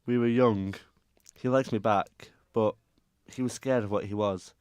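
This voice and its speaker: Male actor as young circus singer, low-leaning voice, earnest, guarded, radio drama, dry sound. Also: steady tone, a little slow, a little mellow, smooth, no room sound, lightly acted. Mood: confiding, sad. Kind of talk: amateur radio drama acting.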